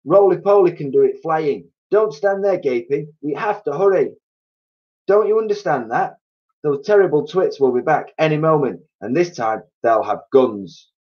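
A man acts out an excitable monkey dad in charge of a storybook gang. He is urgent and bossy, swinging widely in pitch as he hollers orders. The recording is a little dull. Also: normal speed, natural voice. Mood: frantic urgency. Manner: shouting urgent orders, impatient, snapping. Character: bossy, frenzied, excitable male monkey father leader. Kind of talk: children's storybook reading.

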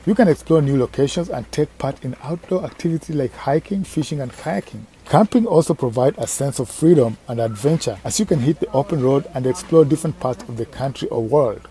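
A man with a husky voice reading a scripted voice-over for a travel vlog. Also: medium pitch, lively intonation, fairly quick, few pauses, mellow, slight background noise. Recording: dry voice-over over music.

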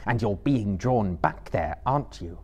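A man voicing a grave young hero who speaks quietly and sternly. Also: fairly low pitch, expressive, unhurried. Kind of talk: audiobook character voice.

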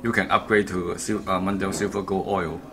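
A middle-aged man who speaks English as a second language, explaining a product in an informal, halting, repetitive way as a distributor. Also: sits low, little pitch movement, fairly bright tone. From Canada, based in Vancouver. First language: not English. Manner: halting, repeats himself. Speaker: audio kit distributor. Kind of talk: informal product walkthrough interview. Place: hotel room at an audio show, indoors.

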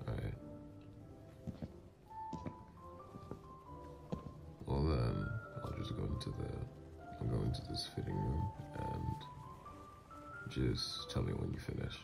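A man playing a doting, easily flustered mafia boss lover, gruff yet flirtatious, in an intimate ASMR roleplay. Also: very deep voice, animated, unhurried, over loud music, exaggerated voice. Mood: relaxed, indulgent.

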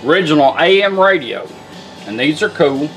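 A man talks through a casual hands-on product demo in a folksy, unpolished way. His voice sits a little on the high side. Some music plays in the background. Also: animated, moderate pace, fairly bright tone, low-quality recording. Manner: folksy, plain-spoken, hands-on. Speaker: car radio restorer and demonstrator. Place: indoor workshop bench. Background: music from the demonstrated radio.

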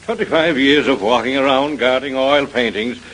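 Actor playing excitable old guard, noisy radio. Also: male voice, mid-range voice, moderate pace, close to an everyday voice. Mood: cheerful. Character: old loyal museum guard, folksy and excitable. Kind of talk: 1930s radio acting. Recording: noisy vintage radio transcription.

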